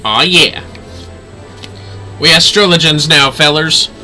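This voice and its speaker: A man's voice: fairly high pitch, slow, bright, forceful tone, soft background music. A man livestreaming a game with casual, playful commentary, often talking to himself and muttering skill names.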